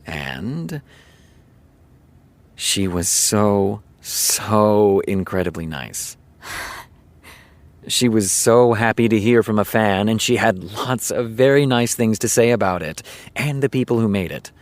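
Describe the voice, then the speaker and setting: Casting for audio drama: easygoing, earnest TV writer, fairly deep, dry studio sound, naturalistic confiding delivery. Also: male voice, lively intonation, no echo. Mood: confiding storyteller. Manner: casual, confiding, storytelling with dramatic pauses. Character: easygoing male TV showrunner, chatty earnest raconteur.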